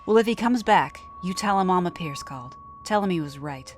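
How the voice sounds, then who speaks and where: A woman's voice: fairly low pitch, lively intonation, brisk, close to an everyday voice. A woman performs a frightened but strong-willed young country woman in a horror tale. Her voice lifts above a narrator's register, urgent and folksy, pleading or giving orders. Music plays behind her. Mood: grim urgency. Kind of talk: dramatised horror storytelling.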